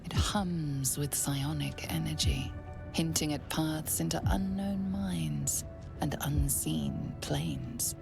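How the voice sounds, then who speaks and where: A woman voicing a grave, mysterious fantasy game storyteller with a measured, breathy, gravelly delivery. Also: sits low, over music, heavily acted. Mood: hushed, ominous. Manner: slow, measured, portentous. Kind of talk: scripted second-person fantasy game narration.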